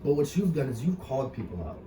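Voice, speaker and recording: A young man, interviewing a fellow wrestler in a relaxed, talkative way with many fillers. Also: medium pitch, fast talker. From New York. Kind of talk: casual interview. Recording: far-off echoey room with noise.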